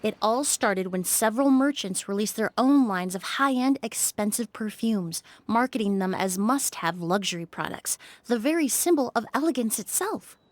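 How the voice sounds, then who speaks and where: A woman voicing a composed young perfumer in a video game, speaking in a measured, explanatory way. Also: on the high side, animated, no echo, natural voice. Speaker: perfumer with medical knowledge. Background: faint game music.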